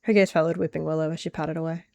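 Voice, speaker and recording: A woman's voice: deep voice, little pitch movement, racing, vocal fry, darkish tone, no room sound. A woman narrating a story in the third person.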